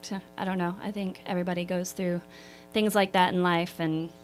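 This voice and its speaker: A woman singer-songwriter with a slightly hoarse voice, talking modestly in a radio interview. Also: fairly low pitch, level tone, fairly quick, a little hiss or hum. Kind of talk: casual radio interview chat.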